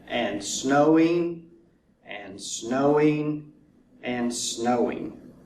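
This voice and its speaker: Slow, deliberate man reading a storybook. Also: mid-range voice, animated, a little mellow. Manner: deliberate, drawn-out repetition for effect. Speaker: fire chief reading to children. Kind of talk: children's storybook narration. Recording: roomy echo, distant mic, faint noise.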